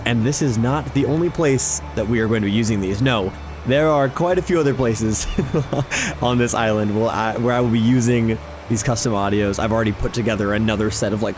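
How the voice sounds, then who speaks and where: A man's voice: fairly low pitch, background noise. A man giving casual, informal game commentary with an enthusiastic manner. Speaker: video game vlogger, host. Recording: music behind the voice. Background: game background music.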